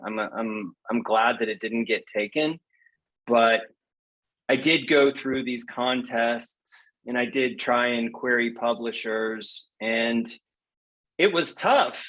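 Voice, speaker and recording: A man speaking as an interview guest, telling his own story in a candid, self-deprecating, conversational way with animated quoted asides. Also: medium pitch, measured pace, rough recording. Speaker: guest poet.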